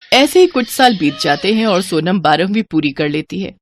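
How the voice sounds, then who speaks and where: A woman's voice: lowish voice, expressive, moderate pace, a little hiss or hum. A woman narrating a scripted moral story as a storyteller.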